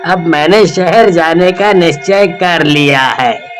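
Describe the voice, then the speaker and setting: A man playing a poor, weary village husband in a cartoon story, with drawn-out, emphatic delivery. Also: on the high side, faint music behind. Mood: weary, resolved. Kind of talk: Hindi cartoon story voice acting.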